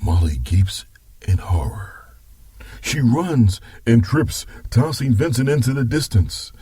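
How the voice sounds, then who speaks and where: A male narrator reads a dramatic scripted story in a low, very husky voice. He takes his time and varies his pitch widely from phrase to phrase. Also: lively intonation, slow pace, warm tone, no room sound, clean studio sound.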